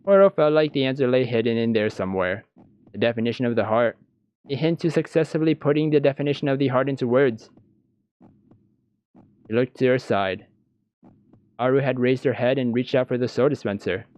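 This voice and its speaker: Smooth-voiced man narrating a story, dry recording. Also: medium pitch, brisk, long pauses, a little mellow, dry recording, rough recording. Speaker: gaming video host reading a visual novel aloud. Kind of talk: scripted narration, reading story text aloud.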